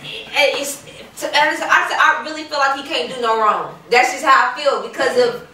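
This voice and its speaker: A woman with a high, bright voice chats as a podcast guest, laid-back and giggly with casual cursing. She sits well back from the mic in a strongly echoing room. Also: normal speed, a little hiss or hum. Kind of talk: casual chat. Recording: very echoey room from a distance.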